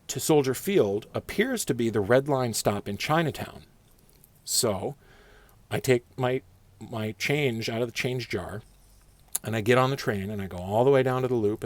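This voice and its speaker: A man's voice: fairly low pitch, no echo. A man telling a personal story in a casual, slangy, conversational way, with some swearing and acted dialogue.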